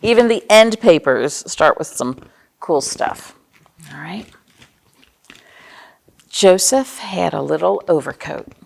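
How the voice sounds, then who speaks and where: A woman's voice: on the low side, animated, slow pace, gravelly, pauses often. An American woman hosting a storytime for young children, reading aloud expressively and explaining things simply with questions to her listeners. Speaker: children's librarian, storytime host.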